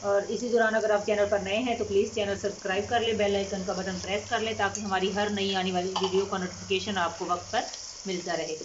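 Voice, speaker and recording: A woman cooking-channel host explaining a home recipe step by step in a calm, unhurried way with frequent fillers. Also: mid-range voice, lo-fi sound, noisy background. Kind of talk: recipe instruction over cooking footage. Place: home kitchen.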